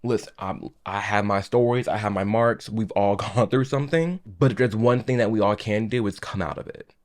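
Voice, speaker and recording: Male commentary host, lowish pitch, casual and emphatic. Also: moderate pace. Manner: emphatic, impassioned, rambling with restarts.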